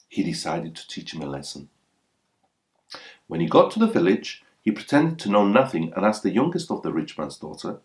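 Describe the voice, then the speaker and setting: A man's voice: deep voice, a little mellow. A man reading a folktale aloud as a scripted storyteller.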